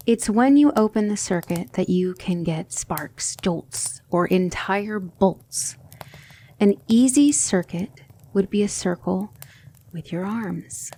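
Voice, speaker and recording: Woman playing female demonic mentor, ASMR roleplay, gentle, exacting, measured formal delivery, noticeable vocal fry, faint noise behind. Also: medium pitch.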